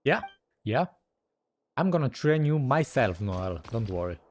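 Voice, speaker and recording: Unhurried man reacting to a game. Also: mid-range voice, sing-song, a little hiss or hum. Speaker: video game streamer. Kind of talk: casual game reaction commentary. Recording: faint game music behind.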